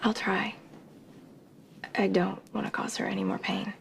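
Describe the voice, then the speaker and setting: An actress playing a guarded, remorseful young wife in a TV soap, speaking softly and breathily. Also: female voice, mid-range voice, level tone, unhurried. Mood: remorseful, hushed. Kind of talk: television soap opera acting. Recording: clearly noisy TV soundtrack.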